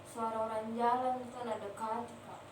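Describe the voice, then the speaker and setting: A woman in her twenties, a nursing student acting as a frightened patient in a class role-play, answering in short halting replies. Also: a little high, little pitch movement. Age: 20s. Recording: roomy, distant, faint noise.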